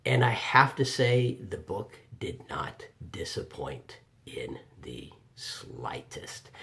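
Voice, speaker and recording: Slow-paced man with animated, swooping delivery. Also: mid-range voice. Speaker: audiobook reviewer. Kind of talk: informal spoken video review. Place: home office.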